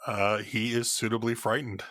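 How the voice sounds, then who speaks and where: Lowish-voiced man chatting casually. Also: little pitch movement, moderate pace, fairly bright tone, no room sound, clean studio sound. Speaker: player in a tabletop game podcast. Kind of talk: casual chat.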